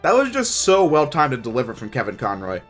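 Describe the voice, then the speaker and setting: A man's voice: medium pitch, huge pitch swings, fairly quick, fairly bright tone, faint music behind. A young man narrating a scripted but casual video review in an informal, opinionated way.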